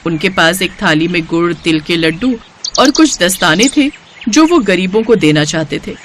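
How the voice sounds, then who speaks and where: A woman's voice: fairly low pitch, animated, normal speed, background noise. A woman narrating a scripted moral story in a storyteller's style.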